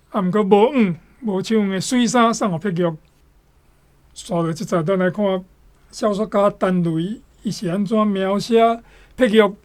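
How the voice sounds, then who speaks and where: A radio presenter introducing and reading literary passages in a measured way, phrase by phrase. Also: medium pitch. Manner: deliberate, phrase by phrase.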